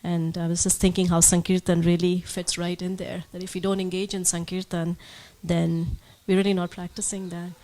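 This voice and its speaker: A woman's voice: on the low side, level tone, normal speed, few pauses, dark tone. A woman taking part in a devotional class, thoughtful and searching for words. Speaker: class participant.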